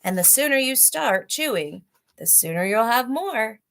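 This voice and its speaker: A woman's voice: a little high, very animated, a little slow, pitched above the performer's own voice. A clever young boy from a children's storybook, voiced by a woman reader. He talks persuasively, bargaining his way out of danger. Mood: persuasive, urgent. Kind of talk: storybook read-aloud.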